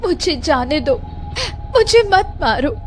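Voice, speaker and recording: A woman playing a timid, frightened young wife who speaks hesitantly in an animated horror story, with faint background noise. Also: sits high, slow pace. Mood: terrified, crying. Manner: hesitant, fearful.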